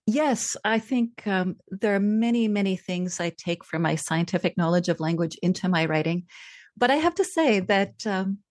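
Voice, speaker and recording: A woman author who grew up in Czechoslovakia, reading from her book and then answering interview questions in a thoughtful, measured way. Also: medium pitch, expressive, no room sound, clean studio sound. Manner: thoughtful, measured, with frequent fillers. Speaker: author and language scientist.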